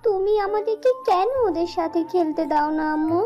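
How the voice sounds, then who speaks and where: A woman's voice: very high voice, moderate pace, mellow, highs cut off, soft background music. A woman voicing a little flower fairy girl in a children's cartoon, childlike and plaintive in manner. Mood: pleading. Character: little flower fairy daughter, girlish, eager and pleading.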